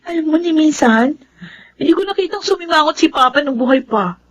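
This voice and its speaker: A devoted Filipino teenage daughter, with a slightly high voice. She is gentle and hopeful, in the manner of a radio drama. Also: animated, a little fast. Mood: curious, fond.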